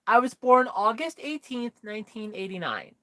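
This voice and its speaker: A woman's voice: fairly high pitch, animated, moderate pace, fairly bright tone. A woman in her thirties chatting candidly with viewers on a livestream, telling a personal story in a rambling way full of fillers. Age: 30s. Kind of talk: casual chat with viewers.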